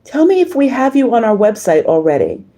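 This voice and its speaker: Woman livestream host, dark relaxed tone, a little hoarse, chatting haltingly and effusively with viewers. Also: a little high. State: slightly hoarse. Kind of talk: casual livestream chat, reading viewer comments.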